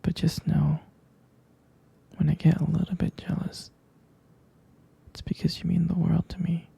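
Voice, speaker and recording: A man playing a jealous, devoted boyfriend in an ASMR roleplay, speaking intimately and haltingly in a breathy, husky whisper. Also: a little high, flat delivery, warm tone, exaggerated voice. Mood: apologetic, devoted. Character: jealous, possessive, devoted boyfriend soothing his sweetheart.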